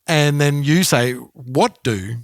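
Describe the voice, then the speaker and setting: A man co-hosting a podcast, talking thoughtfully in a halting way and often repeating words. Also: on the high side, creaky, no room sound, clean studio sound. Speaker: podcast co-host. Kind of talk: casual podcast chat.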